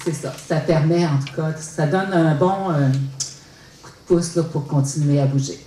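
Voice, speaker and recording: A woman's voice: deep voice, normal speed, dark tone, slight background noise. Hesitant Québécois woman in her fifties. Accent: Québécois French. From Quebec. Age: 50s. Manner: hesitant, many fillers and restarts. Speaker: research study participant. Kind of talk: public testimony at a talk. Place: lecture hall. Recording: roomy and distant.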